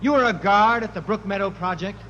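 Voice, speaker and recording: An actor in a 1950s radio drama playing a formal, probing courtroom prosecutor. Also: male voice, high voice, brisk, bright, forceful tone, dull audio, slight background noise. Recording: long hall reverb, music behind.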